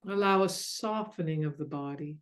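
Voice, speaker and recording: A woman leading a guided meditation in a gentle, soothing, unhurried way with long pauses. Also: sits low. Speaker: meditation guide and mindfulness coach.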